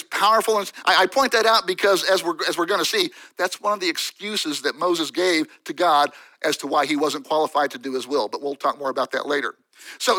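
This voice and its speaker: A man's voice: sits high, pushed and bright, rough recording. A male pastor preaching a morning sermon, telling a story with rhetorical asides to his congregation.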